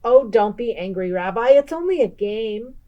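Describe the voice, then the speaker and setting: A woman's voice: fairly high pitch, lively intonation, moderate pace, dark tone, highs cut off, voice pushed up, close to an everyday voice. A woman voicing a kindly, chatty old woman in a children's story, exclaiming and fussing affectionately. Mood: firm, soothing.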